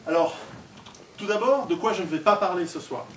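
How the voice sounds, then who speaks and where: A distant, echoey recording of a man from Switzerland addressing an audience. He speaks in an informal, joking lecture style and projects to the room, with a fairly high-pitched voice for a man. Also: animated, a little fast, a bit bright. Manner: conversational and joking, polling the audience; projecting to a room without a microphone. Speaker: guest lecturer and author. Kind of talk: informal public talk to an audience. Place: indoor hall, recorded at a distance. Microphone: distant camera or recorder, no microphone on speaker. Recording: echoey hall from far off, noisy.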